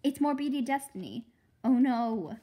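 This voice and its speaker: A woman voicing an excitable, imaginative little girl in a storybook, with dramatic, emphatic delivery. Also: sits high, normal speed, breathy edge, voice pushed up. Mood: alarmed, excited.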